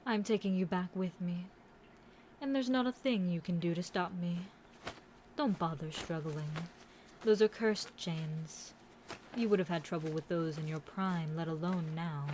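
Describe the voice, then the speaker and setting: A seductive demon queen acted by a woman speaks slowly and grandly in an audio roleplay. She is haughty, scheming and taunting, every phrase delivered with deliberate villainous relish. Clear noise sits behind the voice. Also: on the low side, a little mellow, lightly acted. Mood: gloating, menacing. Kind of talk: scripted roleplay audio drama monologue.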